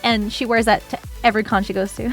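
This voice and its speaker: A woman's voice: a little high, steady tone, quick pace, faint music behind. A young woman streaming casually while she crafts, chatty and giggly, with lots of "like" and "um.". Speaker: streamer, cosplayer.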